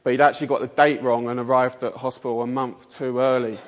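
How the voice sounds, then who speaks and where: A man's voice: medium pitch, flat delivery, fast talker, long reverb tail, muffled recording. A man in his forties from Kent with a Mockney, south-east English accent, preaching an informal sermon in a chatty, rambling, self-mocking way with plenty of fillers. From Kent, England. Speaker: lay preacher. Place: church.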